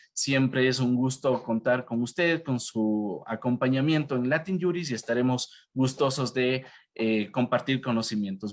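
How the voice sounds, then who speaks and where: Male host, mid-pitched voice, formal and wordy, lots of fillers, on a video call. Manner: wordy, filler-heavy, drawn out. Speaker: event host. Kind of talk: formal introduction of a speaker.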